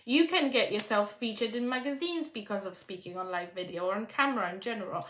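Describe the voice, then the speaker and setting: Polish-accented woman, young, business coach live-streaming; bright, higher voice, encouraging, echoey room. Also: far from the mic. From Poland. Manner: encouraging, reads out viewer comments, frequent "right?". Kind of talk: live-stream talk to viewers.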